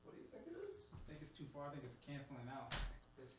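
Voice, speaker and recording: A man on a podcast team who speaks briskly and practically. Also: a little high, wildly swooping pitch, breathy edge, soft background music, rough recording. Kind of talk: casual chat.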